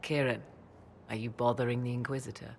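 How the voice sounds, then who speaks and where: A poised sorceress mother from a fantasy game, with a creaky edge to her voice. She speaks formally and deliberately, cool yet protective, in old-fashioned phrasing. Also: very deep voice, flat delivery, darkish tone, a little hiss or hum. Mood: cool, fond. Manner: measured, archaic, formal phrasing. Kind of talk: dramatic video game acting.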